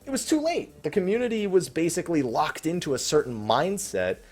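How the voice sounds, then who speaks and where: A man's voice: fairly high pitch, huge pitch swings, brisk, a little mellow, breathy edge. A man hosting a gaming Q&A, talking casually and off the cuff in a rambling, conversational way. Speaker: host of a gaming Q&A show. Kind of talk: casual unscripted Q&A commentary, reading out viewer questions. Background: faint background music.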